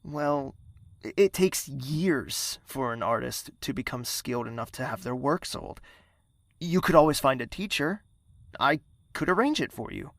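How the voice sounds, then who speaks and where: Gravelly, formal young prince. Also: male voice, medium pitch, animated, a little slow, a bit bright, no room sound. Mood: tender, teasing. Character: young prince, tender in private, commanding with subordinates. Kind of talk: audio drama acting.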